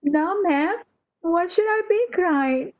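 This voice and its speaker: A woman acting in a radio play as a faithful nurse, gentle, deferential and reassuring, on a slightly dull recording. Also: extremely high pitch, lively intonation, takes it slowly, no room sound, exaggerated voice. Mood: evasive, reassuring. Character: faithful, soothing, deferential female nurse hiding bad news.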